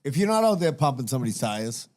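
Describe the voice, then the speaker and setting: A man's voice: mid-range voice, big pitch swings, fast talker, darkish tone. A man co-hosting a podcast in casual chat, emphatic and swearing a lot, with many "like"s. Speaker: podcast co-host.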